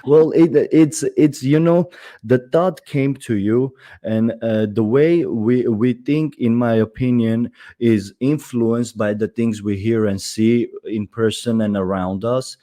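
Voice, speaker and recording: A man's voice: mid-range voice, takes it slowly, dark tone. A man in his thirties from Armenia, hosting a casual interview, talking with lots of hesitations, fillers and restarts. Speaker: podcast host.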